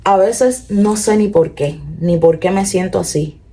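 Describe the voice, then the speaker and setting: A young woman with a Cuban accent talks earnestly to her viewers in a personal confessional vlog, often slowing and trailing off as she reflects. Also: mid-range voice, mellow. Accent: Cuban Spanish. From Cuba. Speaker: vlogger. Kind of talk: personal confessional talk to camera.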